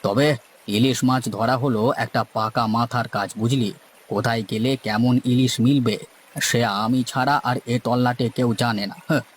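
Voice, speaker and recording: A man's voice: mid-range voice, steady tone, normal speed, lightly acted. A man playing a seasoned, boastful village boatman in a cartoon, with a folksy, lecturing manner. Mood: assertive, boastful. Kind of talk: cartoon story dialogue.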